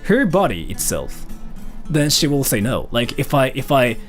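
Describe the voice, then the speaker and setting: A man's voice: mid-range voice, sing-song, normal speed, faint music behind. A man from Japan streaming a live Q&A, rambling with lots of "like" fillers while thanking donors. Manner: rambling, heavy use of "like" fillers. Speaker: live streamer reading donations.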